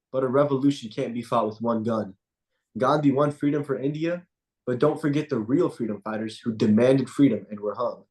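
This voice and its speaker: A young man, a student poet whose first language is Punjabi, reciting a spoken-word poem in a measured, rhythmic way over a video call, then chatting casually. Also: medium pitch, a little mellow, poor audio.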